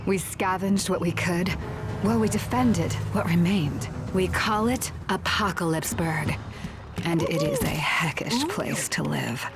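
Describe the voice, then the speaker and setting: An actress playing a tough, wry woman survivor, narrating a video game story with dramatic flair and dry humour. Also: on the low side. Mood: gritty, wry. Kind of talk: scripted first-person cutscene narration. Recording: music behind the voice.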